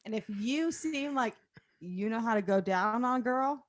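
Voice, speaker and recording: A woman's voice: a little high, moderate pace. A woman in casual group banter, talking in a brazen, joking way and swearing freely. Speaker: guest host on a comedy podcast. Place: remote video call.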